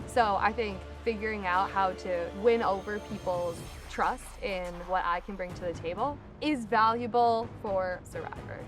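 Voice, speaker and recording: A young woman speaking in a contestant interview. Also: mid-range voice. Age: young adult. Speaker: reality show contestant. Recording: loud music bed. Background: music.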